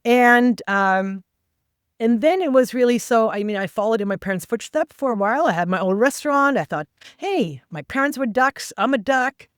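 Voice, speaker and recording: A woman whose first language is not English, guesting on a podcast and telling her story in a lively way with quoted asides. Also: on the high side, normal speed, clean tone, no room sound, clean studio sound. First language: non-English first language. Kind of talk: conversational interview.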